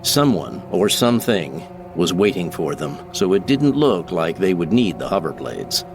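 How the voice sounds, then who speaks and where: A man's voice: low-pitched, very animated, normal speed, vocal fry, over music. A man giving scripted, dramatic narration of science fiction as an audiobook narrator.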